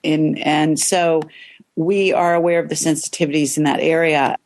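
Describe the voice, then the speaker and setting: A woman with a very low voice, hoarse from a cold. She hosts an interview in a loose, conversational way and stumbles over the first words of her sentences. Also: flat delivery, moderate pace, darkish tone. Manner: hesitant, repeats words while starting sentences. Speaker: interview host.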